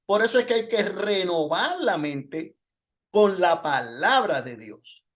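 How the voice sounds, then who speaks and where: Male pastor, high-pitched voice, informal Bible-lesson delivery with testimony and pointed rhetorical questions. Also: expressive, slow pace.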